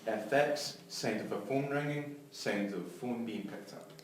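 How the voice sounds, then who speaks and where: A man's voice: mid-range voice, measured pace. Male script reader, scene directions and effects cues, very reverberant room, off-mic. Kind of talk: flat script read-aloud of scene directions and sound effects. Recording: echoey room, far from mic, faint noise.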